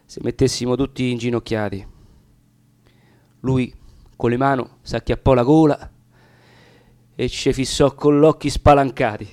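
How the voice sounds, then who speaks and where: A man's voice: medium pitch, steady tone, unhurried, long pauses, a little mellow, dry recording. Roman man, dry close sound, dramatic recitation. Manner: solemn, theatrical. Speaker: reader of dialect poetry. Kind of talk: dramatic recitation of narrative verse.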